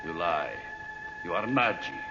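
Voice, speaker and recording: A muffled vintage radio drama recording with music behind it carries a ruthless, power-hungry villain. His voice is low, grandiose and theatrical, heavy with menace. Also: very animated, unhurried, exaggerated voice. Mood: suspicious, accusing. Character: power-mad, ruthless male villain bent on ruling the world. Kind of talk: 1940s radio serial acting.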